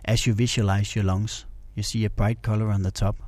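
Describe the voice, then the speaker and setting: Low, mellow male meditation guide. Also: a little fast, dry recording. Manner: calm, soothing, instructional.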